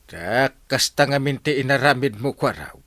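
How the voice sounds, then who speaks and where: A man's voice: mid-range voice, moderate pace, dry recording. An actor with an Ilocano accent playing an exasperated, sputtering male village captain in a comedy radio drama. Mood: exasperated scolding. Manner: animated, sputtering, repeats exclamations. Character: exasperated village captain scolding his wayward men.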